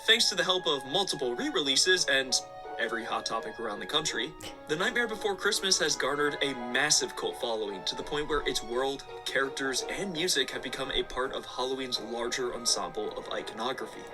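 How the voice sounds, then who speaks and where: A man's voice: medium pitch, expressive, brisk, rough recording. A man narrating a scripted video essay with casual asides. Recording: background music under the voice. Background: music.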